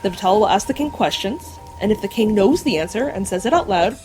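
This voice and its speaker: A woman's voice: mid-range voice, animated, fast, darkish tone, soft background music, natural voice, low-quality recording. A woman playing an earnest, bookish lover of legends in an audio drama, precise and explanatory. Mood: storytelling.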